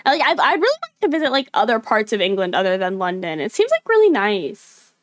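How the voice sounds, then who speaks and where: A woman's voice: medium pitch, very animated, brisk, dry recording, clean studio sound. A woman livestreamer chatting casually and playfully, swearing freely and mimicking accents for fun. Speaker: livestreamer reacting to videos.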